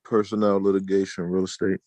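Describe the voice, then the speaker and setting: A man speaking as a board director on a virtual meeting, making short, formal and somewhat halting remarks. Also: low voice, completely flat delivery, mellow, no room sound. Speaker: board director and secretary-treasurer.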